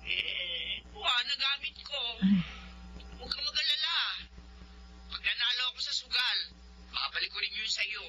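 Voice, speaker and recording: A woman's voice: high-pitched, expressive, moderate pace, long pauses, bright, forceful tone, soft background music, exaggerated voice. A woman playing a nagging, wheedling middle-aged mother in a radio drama, whiny and demanding. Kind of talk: Tagalog radio drama acting. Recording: dull, noisy radio drama audio.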